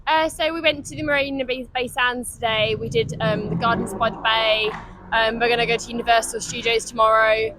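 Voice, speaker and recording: A woman chats about her holiday, filling pauses with "um." Her voice is bright and crisp, with faint street noise behind her. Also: a little high, fairly even intonation, poor audio. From London, England. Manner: chatty, lots of "um"s. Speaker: tourist interviewee.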